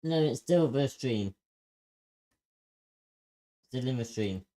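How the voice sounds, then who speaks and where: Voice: mid-range voice, expressive, slow, mellow. A participant helping to run an online group session, talking in a casual, practical way.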